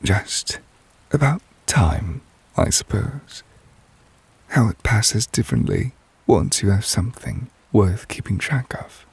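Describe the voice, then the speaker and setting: A man playing a devoted, tender but possessive lover in a romantic ASMR roleplay, speaking softly and intimately in a measured, wistful way, close to the microphone. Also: fairly low pitch, lively intonation, no room sound, exaggerated voice. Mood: tender, reflective. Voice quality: soft, breathy, husky. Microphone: close, dry microphone.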